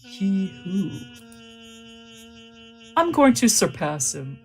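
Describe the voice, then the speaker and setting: A woman's voice: medium pitch, sing-song, very slow, creaky, darkish tone, faint music behind. A woman streaming a visual novel, reading its text aloud and joking playfully between lines, laughing and swearing casually.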